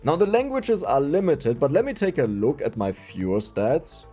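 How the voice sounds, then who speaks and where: Chatty German man with lively pitch. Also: moderate pace, soft background music. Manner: chatty, self-mocking, laughs often. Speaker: gaming YouTuber. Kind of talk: casual gameplay commentary.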